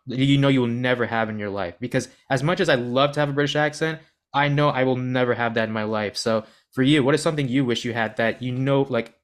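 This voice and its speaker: Young man, American accent, earnest rambling host, mid-pitched voice. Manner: earnest, rambling, self-deprecating. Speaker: podcast host. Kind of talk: podcast interview.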